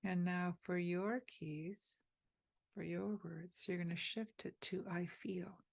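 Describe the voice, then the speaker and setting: A woman guides a meditation in a slow, unhurried voice with a husky, gravelly texture. Her pitch sits on the lower side. The sound is dry and close, with no room around it. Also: steady tone, dry recording. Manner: slow, soft and gently inviting, with pauses. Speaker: meditation teacher.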